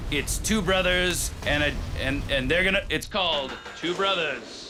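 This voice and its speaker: Halting, rambling cartoon guy, a man doing the voice, quiet over loud music. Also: on the high side, close to an everyday voice, poor audio.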